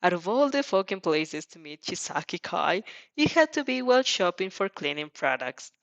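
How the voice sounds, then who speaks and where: A woman narrating fan fiction in the second person, expressive and dramatic, now and then stumbling and rereading a line. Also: on the low side, normal speed, vocal fry, dry recording. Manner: expressive, stumbles over words and rereads lines. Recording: dull, muffled lo-fi sound.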